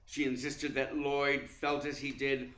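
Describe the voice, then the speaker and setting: An actor playing a suave, pompous theatre critic in a radio play, articulate and slyly needling. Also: male voice, medium pitch, level tone, a little slow, a bit bright, close to an everyday voice. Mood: grandiloquent. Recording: roomy, echoing sound.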